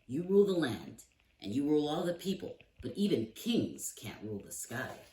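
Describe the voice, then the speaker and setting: A man's voice: fairly high pitch, very animated, moderate pace, dark tone, voice pushed up. A man voicing a polite, anxious young page boy who pleads with his king, read from a storybook in a roomy space. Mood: pleading. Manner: pleading, deferential. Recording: roomy echo, distant mic, faint hiss.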